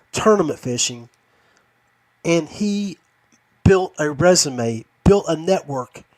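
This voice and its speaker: A man's voice: on the high side, darkish tone, no room sound, rough recording. A man hosting a casual podcast chat in an enthusiastic, folksy way. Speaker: podcast host.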